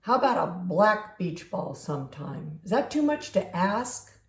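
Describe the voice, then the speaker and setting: A woman's voice: medium pitch, sing-song, a little slow, slight background noise. A woman voicing an aggrieved storybook crayon who protests emphatically and indignantly about unfair treatment. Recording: roomy, echoing home recording.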